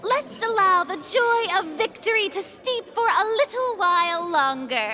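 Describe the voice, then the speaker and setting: Smug young female deity, game role: high, swooping, unhurried, pompous and mocking. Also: animated, loud music behind the voice. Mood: triumphant. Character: haughty, gloating young female deity relishing a courtroom show. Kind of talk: theatrical video game voice acting.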